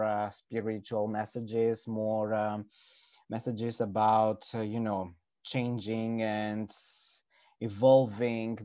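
A man with a Greek accent, a musician guest speaking English as a second language, halting with many fillers and frequent laughs. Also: lowish voice, a little mellow, no room sound, lo-fi sound. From Greece. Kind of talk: casual interview.

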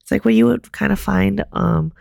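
A young woman co-hosting a casual podcast chat, hoarse as she loses her voice, laid-back and swearing casually. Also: mid-range voice, normal speed, a little mellow, no echo, clean studio sound. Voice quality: raspy, gravelly. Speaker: podcast co-host.

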